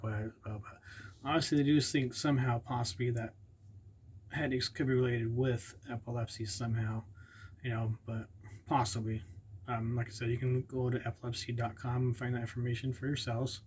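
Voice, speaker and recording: A man's voice: medium pitch, little pitch movement, fairly quick, slight background noise. A man casually vlogging, partly reading aloud, rambling and unsure with many fillers and self-corrections.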